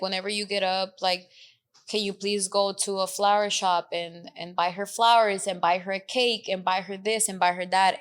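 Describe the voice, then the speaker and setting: A woman's voice: mid-range voice, fairly bright tone. A woman in her twenties with a Mexican American accent, talking earnestly in a casual podcast chat as co-host. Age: 20s. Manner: earnest, switches into Spanish mid-sentence. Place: Dallas.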